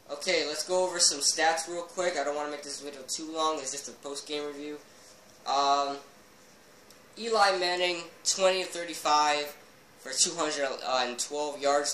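A man's voice: on the high side, bright, forceful tone, roomy sound, slight background noise, low-quality recording. A teenage boy doing a heated post-game football review, talking into a laptop webcam in a small bedroom. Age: teens. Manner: heated, fast fan rant with fillers. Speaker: sports vlogger.

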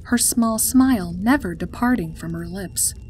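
A woman's voice: mid-range voice, moderate pace, darkish tone, slight background noise. A woman reading a scripted story as its narrator. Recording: music behind the voice. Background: background music.

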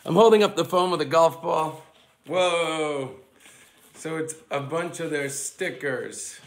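A young man from Cape Cod, hoarse from a cold, chatting casually as a vlog host into a handheld camera and talking to his dog. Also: fairly high pitch, slow. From Cape Cod, Massachusetts. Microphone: handheld camera at arm's length. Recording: music playing behind the voice.

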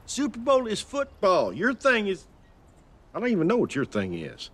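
Blunt, animated middle-aged dad. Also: male voice, sits high, normal speed, exaggerated voice. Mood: sceptical. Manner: blunt, sceptical, exasperated. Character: gruff, baffled suburban sitcom dad. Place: backyard, outdoors.

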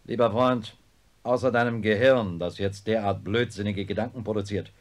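An actor playing a sober, skeptical professor of neurosurgery in a radio play, with a dry, measured, blunt manner. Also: male voice, on the low side, close to an everyday voice. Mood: blunt, exasperated. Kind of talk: German radio play acting.

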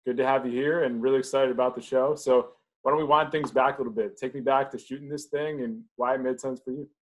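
A man in his thirties hosting an interview over a video call, asking brisk, friendly questions. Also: medium pitch, steady tone, low-quality recording. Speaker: interview host. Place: home office with bookshelves, indoors.

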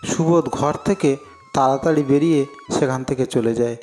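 A man's voice: medium pitch, moderate pace, a little mellow. A man narrating a scripted cartoon story as its storyteller.

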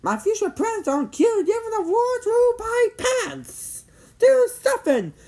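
Sharp-tongued storybook girl, voiced by a woman: high, animated, bossy and demanding. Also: moderate pace, exaggerated voice. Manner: demanding, exclamatory.

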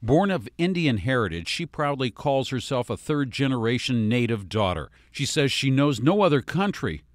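A man presenting a television news feature in a measured, formal delivery. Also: medium pitch, sing-song, no room sound, studio quality. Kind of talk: scripted news narration.

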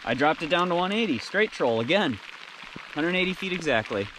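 A man's voice: fairly high pitch, a little fast, background noise. A young man chatting and explaining his tackle while fishing from a canoe on a lake, caught on an action camera. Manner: excited, explains tackle plainly. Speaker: angler in canoe. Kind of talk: casual outdoor chat. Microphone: action camera on boat.

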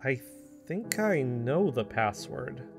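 An agitated amateur investigator played by a man, halting and insistent. The voice is mid to higher male pitch with faint music behind, in conversational audio drama style. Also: very animated, creaky, exaggerated voice.

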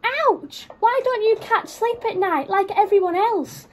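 A woman's voice: very high voice, a little slow, slight background noise, voice pushed up. A woman voicing an indignant, stubborn pet dog from a storybook, with exaggerated exclaiming delivery.

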